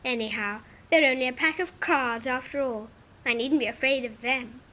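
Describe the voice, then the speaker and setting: A woman's voice: sits high, normal speed, bright, forceful tone, rough recording. A young female performer playing a polite, self-assured little girl with a prim, forthright manner in a 1950s British audio drama.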